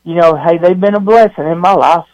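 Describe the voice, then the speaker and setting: A man calling in to a radio show, talking sincerely in a halting way with many fillers. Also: fairly high pitch, darkish tone, no room sound, rough recording. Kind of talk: casual phone-in talk. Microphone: phone line.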